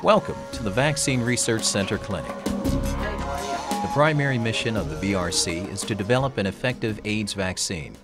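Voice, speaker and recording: Low voiced guy doing calm formal voiceover, loud music underneath. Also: normal speed. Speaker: narrator. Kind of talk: scripted documentary narration. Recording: voice-over with loud background music.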